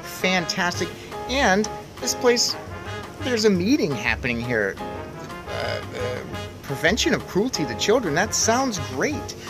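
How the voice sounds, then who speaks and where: A man's voice: high voice, big pitch swings, takes it slowly, gravelly, poor audio. Man reading a children's storybook over music. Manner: chatty and enthusiastic, putting questions to young listeners. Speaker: reader and host of a children's read-aloud. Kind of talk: storybook read-aloud with casual commentary.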